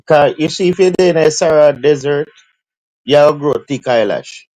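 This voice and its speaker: A man's voice: a little high, normal speed, darkish tone, no room sound, poor audio. A man with a Jamaican accent on a live panel discussion, building his point step by step.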